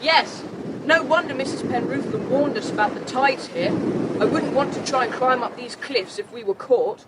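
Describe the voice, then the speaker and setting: A woman's voice: high-pitched, moderate pace, very noisy, lightly acted. A woman playing a sensible, decisive child leader with a confident, practical manner in an audio drama. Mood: firm, practical.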